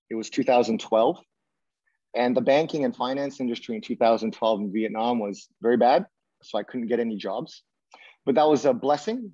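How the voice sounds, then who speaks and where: A man, a strength coach and guest, telling his story in a relaxed, steady way over a video call. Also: medium pitch, no room sound, dull audio. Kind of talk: casual remote interview.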